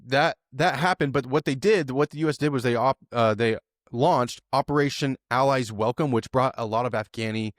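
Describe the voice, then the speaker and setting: A man's voice: medium pitch, moderate pace, no room sound, clean studio sound. A man co-hosting a podcast, talking through the news casually with lots of fillers and tag questions. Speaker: podcast co-host.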